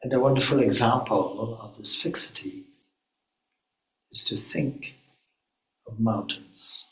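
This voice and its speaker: A man leading a guided meditation as a spiritual teacher, speaking calmly in measured phrases with frequent pauses. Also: on the low side. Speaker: swami, guided meditation leader. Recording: echoey room.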